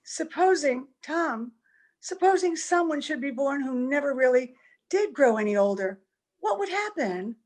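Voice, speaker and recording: A woman's voice: sits high, expressive, unhurried, darkish tone. A woman playing an anxious, affectionate young-looking wife in an old-time radio play. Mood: anxious, pleading. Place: home, over a video call.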